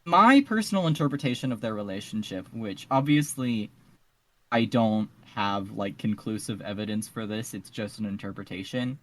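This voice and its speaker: A woman's voice: a bass voice, lively intonation, normal speed. A woman chatting casually as a podcast panelist, often qualifying her own opinions in a tentative way. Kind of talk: casual discussion.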